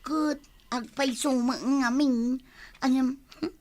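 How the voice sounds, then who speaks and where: An actress with an Ilocano accent playing a nosy, cheeky gossip who sells perfume, with a drawn-out, teasing delivery in a comedy radio drama. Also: female voice, high-pitched, steady tone, darkish tone.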